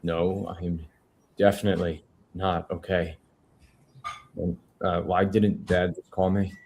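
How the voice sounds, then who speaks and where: A man's voice: low voice, little pitch movement, slow pace, gravelly, darkish tone, a little hiss or hum, full character voice. A man reading the part of an anxious, unwell newsman from a crime family at a film script table read.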